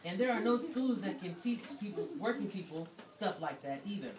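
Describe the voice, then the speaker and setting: Heard from far off in a noisy, echoey room, a woman plays a good-natured puppet farmer. The delivery is folksy and very animated in pitch. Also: normal speed, lightly acted. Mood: matter-of-fact, wistful. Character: plain-spoken busy Korean farmer, folksy, good-natured. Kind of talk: amateur puppet-show acting. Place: classroom, performers behind a draped table. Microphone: distant camera.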